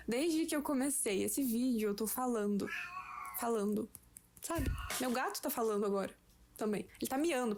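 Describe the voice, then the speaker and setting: A young Brazilian woman giving a slow, patient, playful lesson at home to a friend. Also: a little high, darkish tone, slight background noise. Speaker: language teacher for a friend. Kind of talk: casual home-made lesson.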